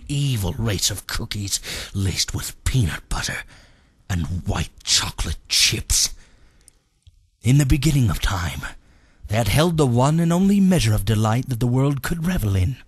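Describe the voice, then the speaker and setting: Casting: male actor as a melodramatic old-time soothsayer telling storybook legends; swooping intonation, slow, grandiose delivery. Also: medium pitch, slightly rough, dry recording. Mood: ominous. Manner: grandiose, ominous, drawn-out dramatic phrasing. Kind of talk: theatrical comic storybook narration.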